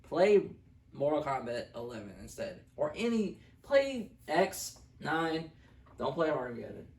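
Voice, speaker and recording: A man's voice: on the high side, sing-song, unhurried. A man giving a blunt, emphatic film review in casual chat as a co-host. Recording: roomy echo, distant microphone.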